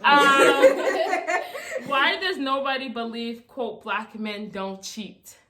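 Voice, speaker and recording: A young woman hosting a laid-back video chat with friends, her voice high and bright. She is bubbly and giggly, often breaking into exclamations. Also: animated, drawn-out words, slight background noise. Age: young adult. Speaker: vlogger hosting a Q&A.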